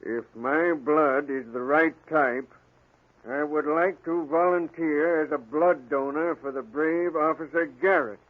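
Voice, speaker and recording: An actor playing a middle-aged police commissioner, husky and fond of his men, in a 1940 radio drama. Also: fairly high pitch, steady tone, unhurried. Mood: surprised. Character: gruff but fond older male police commissioner. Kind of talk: 1940s radio acting. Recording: tinny, phone-like 1940 radio recording.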